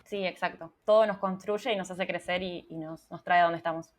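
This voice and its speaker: A woman's voice: on the low side, steady tone, a little fast. A young Argentine woman, a UX writer, answering interview questions in a casual, thoughtful way with many restarts. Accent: Argentine Spanish.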